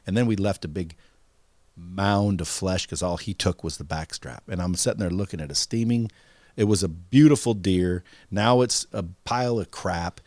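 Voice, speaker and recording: A man's voice: sits low, moderate pace, darkish tone, dry recording. A man hosting a podcast, telling stories in a blunt, profane, casual way. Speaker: podcast host. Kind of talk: casual interview chat.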